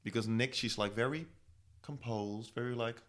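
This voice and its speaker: A man's voice: mid-range voice, moderate pace, a bit bright. A young man, co-hosting a podcast and chatting playfully about a TV show. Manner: chatty, playful, interjecting often. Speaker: podcast co-host. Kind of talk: casual chat recapping a TV show.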